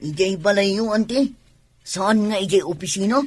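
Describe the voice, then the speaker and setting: Husky, hesitant young niece acted by a woman. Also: mid-range voice, normal speed, natural voice. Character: reluctant, polite young working niece. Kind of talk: radio drama acting.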